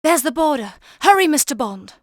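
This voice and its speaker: A woman's voice: high-pitched, sing-song, brisk, dry recording, studio quality. A woman playing an urgent, dramatic spy companion in a video game. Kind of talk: video game character dialogue.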